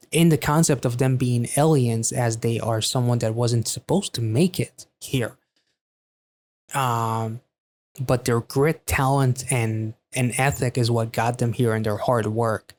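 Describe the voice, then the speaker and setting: An enthusiastic man hosts a casual reaction to a song. His voice sits at a middle pitch. He comments on lyrics in a loose, conversational way and swears freely. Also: a little mellow. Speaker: music reaction host.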